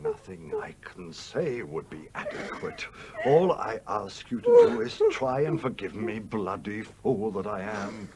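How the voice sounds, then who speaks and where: An actor playing the gruff, blunt ghost of a sea captain in a radio play, with a British accent and emphatic, old-fashioned speech over background noise. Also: male voice, fairly low pitch, huge pitch swings, a little slow. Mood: remorseful. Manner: blustery, emphatic, old-fashioned turns of phrase.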